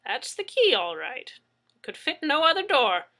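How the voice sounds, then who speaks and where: Woman doing a loud, lofty old street eccentric, high and bright. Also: big pitch swings, moderate pace, heavily acted. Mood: grandiose. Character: eccentric older male street dweller, grand and wordy.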